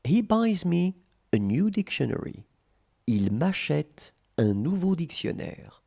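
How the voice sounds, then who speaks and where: A language tutor voice reading short phrases in slow dictation, repeating each one and splitting it into chunks. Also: medium pitch, big pitch swings, vocal fry, dark tone, slightly rough.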